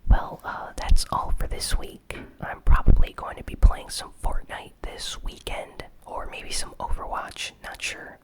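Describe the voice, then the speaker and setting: A host whispering a casual ASMR gaming news recap in a breathy, unvoiced voice. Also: measured pace, few pauses. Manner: casual, informal.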